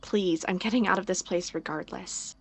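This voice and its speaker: A stubborn, fond young small-town woman voiced by an actress in an audio drama. Her voice sits low with plenty of creak, and she teases dryly. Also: moderate pace. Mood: determined. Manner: dry, teasing.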